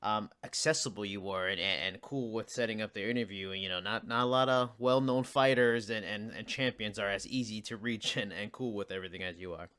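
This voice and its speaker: A man's voice: lowish voice, a little fast, hardly any pauses, pushed and bright, clear, resonant tone. Man interviewing, stammering restarts. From United States. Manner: friendly, stammering restarts, laughs along. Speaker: interviewer, host. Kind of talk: casual interview questions.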